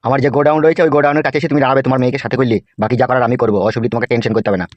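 Man acting a cartoon gangster boss, very rapid slangy speech, bossy, scheming, mock-sweet. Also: mid-range voice, little pitch movement, no room sound, muffled recording, lightly acted. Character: scheming, foul-mouthed male gangster politician, big-brother boss.

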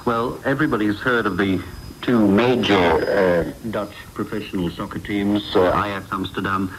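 A man's voice: lowish voice, normal speed. Man hosting on noisy shortwave radio. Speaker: radio host. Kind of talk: radio feedback show hosting.

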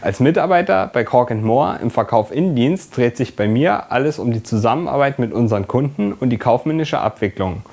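A man's voice: mid-range voice, a little mellow. A man in his twenties who works in sales delivers a scripted testimonial to camera. His delivery is formal and recited. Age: 20s. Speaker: sales employee.

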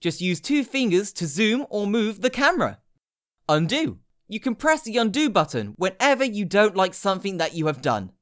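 Lively-pitched woman narrating a tutorial. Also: normal speed. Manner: instructional, clear short directions. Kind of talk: scripted step-by-step app tutorial narration.

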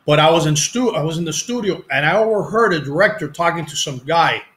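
A man in his forties with a Cuban accent, telling stories about his acting career in a casual video-call interview, animated and often restarting his sentences. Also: on the high side, fast. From Cuban family. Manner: animated, acts out quoted voices, restarts sentences. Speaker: actor, interview guest. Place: home room, video call. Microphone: headset.

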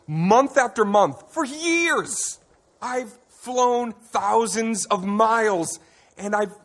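Earnest crop-duster plane, husky, high and lively. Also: male voice, full character voice. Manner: earnest, pleading.

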